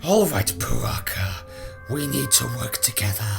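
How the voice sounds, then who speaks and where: A man acts out a menacing cartoon mutant warlord, theatrical and hungry for power. His voice leaps around in pitch and drags its words with a creak. Loud music plays over him. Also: animated. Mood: commanding. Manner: menacing, theatrical, slow and volatile. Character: defiant, power-hungry male villain mutant named Zaktan. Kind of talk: animated fan dub acting.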